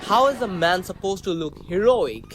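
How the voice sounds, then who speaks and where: A man's voice: sits high, animated, moderate pace, fairly bright tone, slight background noise. A man playing a vain, bumbling space captain. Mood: melodramatic. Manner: self-important, melodramatic. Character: vain, bumbling male starship captain, fearless leader.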